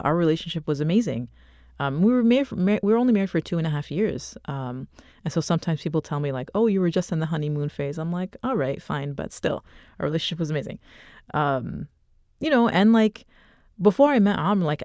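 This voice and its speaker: A woman in her thirties telling a personal story as an interview guest, speaking softly and reflectively, pausing often with fillers. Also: sits low, no room sound, studio quality. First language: not Arabic. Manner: soft, reflective, halting with many fillers. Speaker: author and podcast guest.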